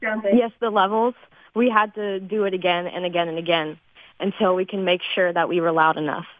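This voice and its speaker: A woman's voice: fairly low pitch, fairly even intonation, moderate pace, no echo. Teenage girl on a tinny phone-like line. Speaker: student cast member being interviewed. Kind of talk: casual explanation in interview.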